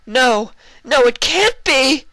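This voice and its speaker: A distraught young pony princess from a storybook, acted out by a man. The voice is pushed high and forceful, clean and pleading, full of fear and anguish. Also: lively intonation, slow pace, no room sound, exaggerated voice, low-quality recording. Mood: terrified.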